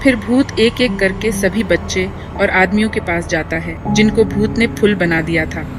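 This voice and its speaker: A woman's voice: mid-range voice, moderate pace. A woman reading scripted narration for a horror cartoon story. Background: music.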